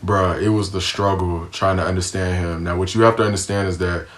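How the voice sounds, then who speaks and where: A young man from the United States talks through a casual storytime for his vlog. His voice sits low, and his delivery stays flat and even. He is laid-back and slangy, with plenty of ums along the way. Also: brisk. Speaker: vlogger.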